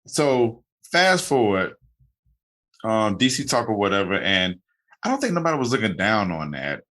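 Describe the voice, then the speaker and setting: Male podcast host, casual rambler, low pitch, bright tone. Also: big pitch swings, normal speed. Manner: rambling, many restarts and fillers. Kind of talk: casual interview chat.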